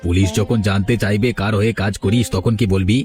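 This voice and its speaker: An actor playing a young criminal son in a cartoon, earnest and remorseful. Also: male voice, lowish voice, fairly even intonation, a little fast, music in the background, natural voice. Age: young adult. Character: moneylender's guilt-ridden criminal son, wanting to reform. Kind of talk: Bengali cartoon story dialogue.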